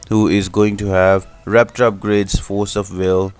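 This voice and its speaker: A man's voice: fairly low pitch, little pitch movement, unhurried, smooth. A man hosting a gaming channel, giving explanatory step-by-step commentary for a video game guide. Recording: music behind the voice. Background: music.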